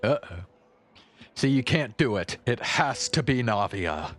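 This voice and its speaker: A man's voice: medium pitch, lively intonation, unhurried, fairly bright tone. A man giving casual, laid-back, slangy commentary while streaming a game. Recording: faint game music behind.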